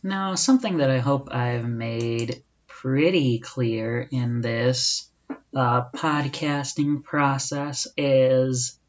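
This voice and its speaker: Young man, solo podcast host, casual rambling monologue, slow delivery, home room, slightly dull audio. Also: medium pitch, steady tone. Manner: rambling, casual, with frequent fillers and restarts.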